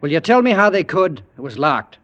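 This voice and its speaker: A man's voice: on the high side, very animated, fairly quick, fairly bright tone, lo-fi sound. An actor playing a reluctant apartment superintendent, a plain-spoken man who is doubtful and placating, in old-time radio drama. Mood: matter-of-fact, doubtful. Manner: folksy, plain-spoken, soothing yet doubtful.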